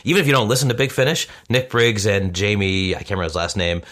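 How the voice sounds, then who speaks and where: A man's voice: fairly low pitch, steady tone, fast, a bit bright. A man talking casually and wordily as a guest in a panel chat, with an enthusiastic, self-deprecating manner. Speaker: podcast guest.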